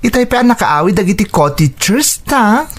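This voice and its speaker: Animated, frank male friend. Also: high voice, normal speed, exaggerated voice. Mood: concerned, frank. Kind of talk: Ilocano radio drama acting.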